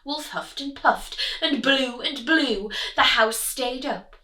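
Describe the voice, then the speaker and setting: A woman teacher reads a rhyming story to children with a high, lively, sing-song delivery, taking her time over each line. Her bright voice sits back from the mic in an echoey room. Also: slow pace, recorded from a distance. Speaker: teacher reading aloud. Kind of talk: expressive storybook read-aloud for children.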